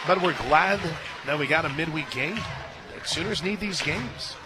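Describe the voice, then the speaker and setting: An American man works as a radio play-by-play announcer for softball, with a fairly high voice. He is conversational and folksy between pitches, then punchy on the calls. A stadium crowd is clearly audible behind his broadcast mic. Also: moderate pace, very noisy. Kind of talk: live softball radio commentary with casual banter.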